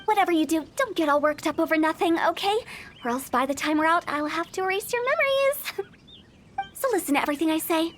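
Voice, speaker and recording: A teasing, mischievous young funeral director. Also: female voice, very high voice, lively intonation, fast, slight background noise. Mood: playful. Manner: teasing, giggly, mock-spooky. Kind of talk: video game voice acting.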